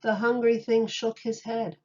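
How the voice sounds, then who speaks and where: A woman reading a children's picture book aloud like a teacher, in a deliberate, expressive way. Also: fairly high pitch, highs cut off. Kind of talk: children's storybook read-aloud.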